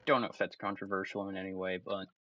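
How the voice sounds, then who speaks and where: A man's voice: deep voice, flat delivery, fast talker, pushed and bright, lo-fi sound. A man giving casual commentary while playing a game, thinking aloud as he goes. His voice is starting to tire. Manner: thinks aloud, meandering and self-talking. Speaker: let's play gamer.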